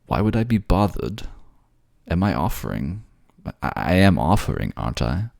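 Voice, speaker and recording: Guy doing a deep gravelly fantasy captor, silky n condescending, soft asmr coaxing, really swoopy delivery. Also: sing-song, moderate pace, no echo, studio quality, exaggerated voice. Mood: tender teasing. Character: suave manipulative male water-folk ruler, soothing captor. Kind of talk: intimate ASMR audio drama acting.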